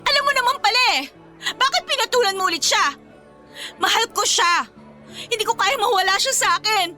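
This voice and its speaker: Fiery, very high-pitched jealous girlfriend. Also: fairly quick, sharp tone, faint music behind, exaggerated voice. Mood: anguished, pleading. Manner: confrontational, emotional outbursts. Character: jealous, hurt young girlfriend, fiery and accusing. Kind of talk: Filipino radio drama acting.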